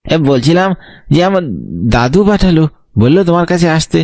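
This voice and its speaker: A man's voice: a little high, a little fast, a little mellow, no echo, studio quality, lightly acted. A man playing an earnest young student, the wronged younger brother, in a horror audio story. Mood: hesitant, pleading.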